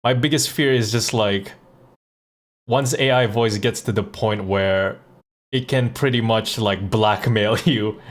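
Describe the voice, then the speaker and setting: Male streamer, low voice, blunt off-the-cuff chat, loose and sweary. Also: unhurried. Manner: blunt, profane, conversational. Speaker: live streamer and voice actor. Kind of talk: casual livestream chat and reactions.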